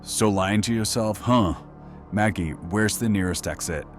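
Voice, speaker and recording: Guy doing a late night radio host, really low voice, dry and bossy, horror audio play, music under it. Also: a little slow, lightly acted. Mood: sardonic, firm. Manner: dry, sardonic, commanding. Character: gruff late-night radio host, cool, commanding, sardonic. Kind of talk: modern horror audio drama acting. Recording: music behind the voice.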